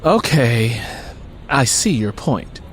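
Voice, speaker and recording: A man's voice: mid-range voice, sing-song, takes it slowly, slight background noise, low-quality recording. A man voicing a guarded, exasperated woman in an audiobook story, blunt and reluctant in manner. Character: exasperated, guarded young woman with broken-down car.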